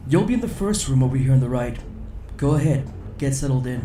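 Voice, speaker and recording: An actor playing an earnest, dutiful alien security officer with a formal, measured way of speaking in an audio drama. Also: male voice, mid-range voice, animated, warm tone, far from the mic, slight background noise, natural voice. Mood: courteous. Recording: roomy echo with music underneath.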